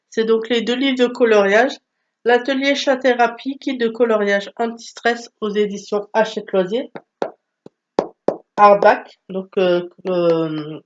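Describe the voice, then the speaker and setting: A woman's voice: medium pitch, muffled recording. A woman with a stuffy nose from a cold, chatting casually about her book haul with many hesitations. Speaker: book vlogger.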